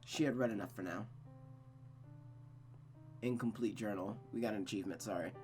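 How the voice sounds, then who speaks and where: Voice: mid-range voice, fairly quick, a little mellow, faint music behind. A host reading a visual novel aloud in dramatic narration, stumbling and rereading phrases, with casual asides.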